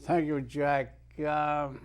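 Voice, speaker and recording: An Irish man in his eighties, a retired mariner guest telling stories and reciting his own poetry in a measured way. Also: fairly high pitch, flat delivery, smooth. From Ireland. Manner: measured storyteller who declaims verse with swelling emphasis. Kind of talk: unhurried storytelling and poetry recitation.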